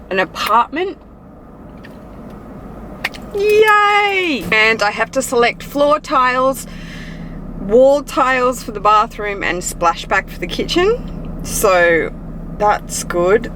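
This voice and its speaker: A woman in her thirties with an Australian accent, chatting casually to camera from her car for a vlog, rambling and upbeat. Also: medium pitch. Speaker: vlogger. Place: parked car. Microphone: dashboard camera. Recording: noisy car interior.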